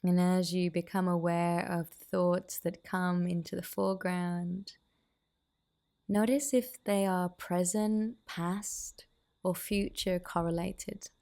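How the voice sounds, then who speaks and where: A woman guiding a meditation as a teacher, giving soothing, unhurried instructions with pauses. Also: lowish voice, steady tone, warm tone. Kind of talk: guided meditation.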